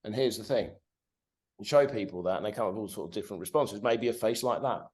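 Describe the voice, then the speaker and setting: A man in his forties, a podcast guest on a video call, talking in a sweary, animated way and tagging his points with "right?". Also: fairly low pitch, fast. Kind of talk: casual conversation.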